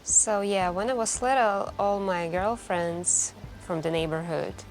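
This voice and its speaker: A wistful, mysterious woman , played by an ASMR roleplayer, speaks softly and intimately, as if to a stranger in a late-night café. She takes her time, musing hesitantly and letting pauses linger. Music plays quietly behind her medium-pitched voice. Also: steady tone, close to an everyday voice, poor audio. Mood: curious, reminiscing. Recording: background music.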